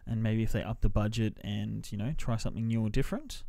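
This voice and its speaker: Man, film reviewer, vocal fry, mid pitch, dry sound, informal, measured opinions, casual chat. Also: fairly even intonation, mellow, no room sound.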